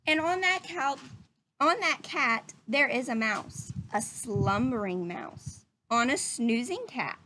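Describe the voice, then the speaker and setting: A woman's voice: high voice, expressive, takes it slowly, sharp tone, slight background noise. A woman reading a picture book aloud to young children like a teacher, drawing out phrases and putting questions to her listeners.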